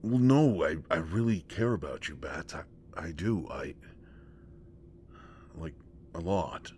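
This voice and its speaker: Man acting a deep-voiced, stammering, bashful boyfriend. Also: lively intonation, takes it slowly, darkish tone. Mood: flustered, tender. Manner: stammering, hesitant, earnest. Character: caring, bashful boyfriend, earnest and protective. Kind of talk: audio roleplay acting, intimate one-sided dialogue. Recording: faint background noise.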